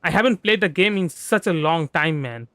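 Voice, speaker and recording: A man's voice: fairly high pitch, expressive, moderate pace, a bit bright, no echo. A man chatting casually and ramblingly on a game livestream, stammering over words. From India. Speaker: VTuber game streamer. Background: game music and dialogue.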